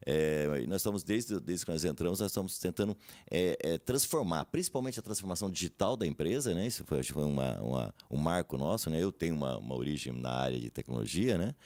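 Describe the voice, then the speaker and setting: A man's voice: low voice, lively intonation, fast talker, a little mellow, no echo. A man, a guest in a radio interview, talking in a rambling, hesitant way and tagging his sentences with "né?". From Brazil, grew up in São José dos Campos. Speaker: guest, company director.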